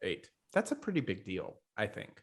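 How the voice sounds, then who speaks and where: Male, fairly low pitch, podcast co-host, relaxed sports conversation, from the US. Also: moderate pace, gravelly, breathy edge. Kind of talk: casual sports chat.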